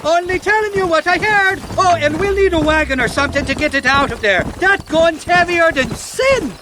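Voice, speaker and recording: An actor voicing a shifty drunken Irish rogue in a game, blustering and pleading in a slurred, rambling way. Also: male voice, extremely high pitch, normal speed, background noise. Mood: defensive, chatty. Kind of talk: game voice acting.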